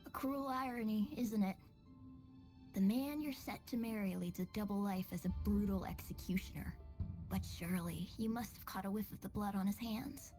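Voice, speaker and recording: An actress plays a coolly taunting informant in a game story. Her voice is mellow and mid-pitched, deliberate and dramatic, with faint music behind. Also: lightly acted. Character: cold, knowing female informant, coolly taunting. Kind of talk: video game character acting.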